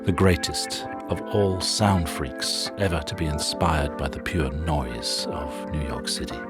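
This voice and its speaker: A man hosting a sound documentary, narrating a scripted introduction in a measured, wry storytelling manner. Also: very deep voice, fairly even intonation. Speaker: show host and narrator. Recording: narration over loud music.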